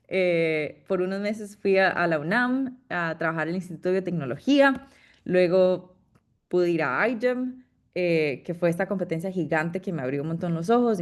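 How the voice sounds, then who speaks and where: Chatty young Costa Rican woman. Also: fairly low pitch. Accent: Costa Rican Spanish. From Costa Rica. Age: young adult. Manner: chatty, many fillers and restarts, upbeat. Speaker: guest speaker, PhD student. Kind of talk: informal talk to an audience.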